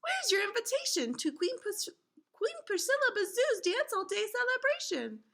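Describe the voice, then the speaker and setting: Casting: female reader as a brisk storybook bee guard, very high and bright, officious and excitable. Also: gravelly, heavily acted. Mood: officious, excited.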